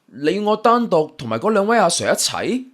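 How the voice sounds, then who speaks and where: A man puts on a pouty young rich fellow. The voice is high and very lively, meek and sulky, as in an audio story. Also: normal speed. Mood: reluctant. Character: pouty, simple-hearted young rich man, reluctant sidekick.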